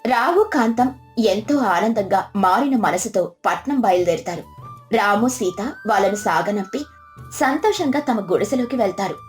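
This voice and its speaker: A woman's voice: mid-range voice, lively intonation, moderate pace, soft background music. A woman narrating a scripted moral story as a storyteller.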